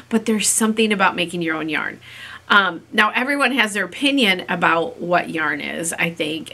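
A woman in her fifties, raspy from a coming cold, chatting to camera about a craft and thinking aloud with many ums. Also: on the low side, sharp tone. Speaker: fiber-craft vlogger. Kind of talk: casual chat to camera. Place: small room in a home, indoors. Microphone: camera in front at desk distance.

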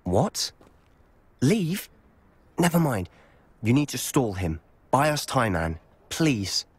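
A man's voice: mid-range voice, very animated, slow, slightly rough, dry recording, exaggerated voice. A man playing an intense, stubborn, protective teenage schoolboy in a video game. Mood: desperate, urgent. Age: young adult. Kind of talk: video game dialogue acting.

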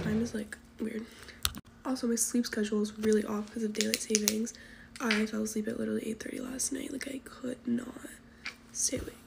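Teen girl doing a get ready with me, raspy fry in her voice, half whispering, phone held close, lots of noise behind. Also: a little high. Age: teens. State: losing her voice from coughing. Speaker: vlogger. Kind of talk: casual get-ready-with-me chat. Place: bedroom. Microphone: phone camera at arm's length.

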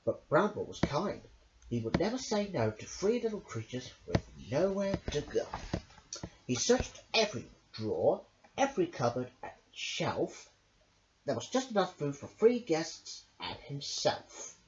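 A man narrating a children's picture book as storyteller, with a theatrical, drawn-out delivery. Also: fairly high pitch, big pitch swings, background noise. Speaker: storyteller, channel host. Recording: dull lo-fi home recording.

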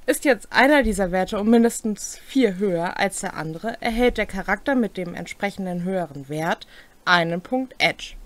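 A woman's voice: medium pitch, no echo. A young adult woman co-hosting a rules explainer in a scripted presenting style. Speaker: co-host of a tabletop game rules series. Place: small cluttered home room, indoors.

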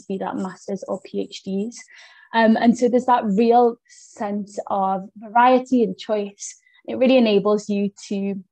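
A woman's voice: medium pitch, dark tone, no room sound, poor audio. Upbeat British woman hosting a webinar. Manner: friendly, upbeat presenter with frequent "um" and "kind of". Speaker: webinar host and education advisor. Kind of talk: presenting a webinar. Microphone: video call.

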